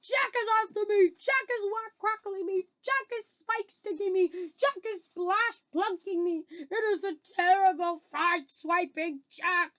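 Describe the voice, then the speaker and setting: A woman's voice: very high voice, measured pace, hardly any pauses, a bit bright, voice pushed up. A woman voicing a huge brutish man-eating giant in a children's storybook, terrified by a nightmare, bellowing and wailing as it pleads. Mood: panicked screaming. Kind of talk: children's storybook read-aloud.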